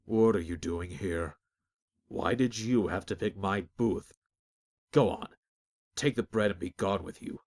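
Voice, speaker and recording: A man reading as a gruff male baker, resentful and shooing someone off. The voice sits close to the reader's own, a touch lower, in a storybook. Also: measured pace, long pauses, darkish tone.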